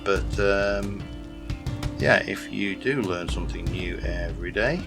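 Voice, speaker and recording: A man's voice: low-pitched, measured pace, creaky. A man from South Yorkshire with a light accent, rambling and self-deprecating through casual game commentary, full of fillers and restarts. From South Yorkshire, England. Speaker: gaming YouTuber. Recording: gameplay with background music.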